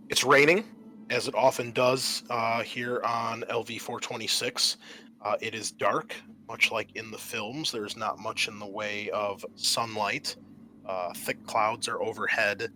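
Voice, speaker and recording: A man working as game master, narrating a tabletop roleplaying scenario with measured, storyteller-like scene-setting. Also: on the low side, bright, forceful tone, poor audio.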